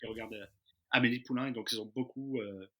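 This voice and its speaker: An easygoing man in his thirties from the Lyon area of France, talking casually as an interview guest. His voice is piercingly bright and tense, at a middling pitch. He pauses often and fills the gaps while he thinks. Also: fairly even intonation. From Lyon region, France. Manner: easygoing, hesitant with frequent fillers. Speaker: interview guest, expatriate in Japan. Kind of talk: casual conversation.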